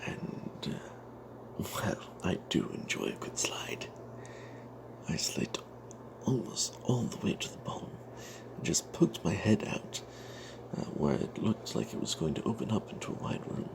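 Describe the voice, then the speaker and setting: A man telling an off-the-cuff fantasy game story in ASMR style, breathy and half-whispered, halting, with playful old-fashioned flourishes. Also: lowish voice, very animated, rough recording. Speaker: storyteller narrating a tabletop game tale.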